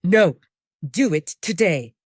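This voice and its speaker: A woman's voice: lowish voice, expressive, slow pace, slightly rough, no room sound, studio quality, exaggerated voice. A woman voicing a stern, frustrated young Alpha werewolf man in an audio drama, curt and commanding.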